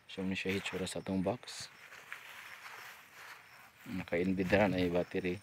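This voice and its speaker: A man with a Cebuano Filipino accent giving casual, informal vlog commentary in short fragments as he works on site. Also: deep voice. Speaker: radio repeater technician and vlogger. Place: hilltop radio repeater site. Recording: noisy on-site amateur recording.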